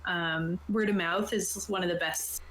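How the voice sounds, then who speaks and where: A woman's voice: fairly low pitch, steady tone, unhurried, over a noisy crowd. A woman game master casually explaining a tabletop game setup, chatty and informal with jokey asides. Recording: roomy, echoey sound.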